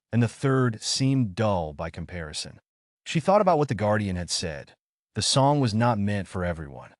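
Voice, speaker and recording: A man narrating a scripted bedtime story as a storyteller, in an expressive, theatrical manner. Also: lowish voice, no echo, clean studio sound.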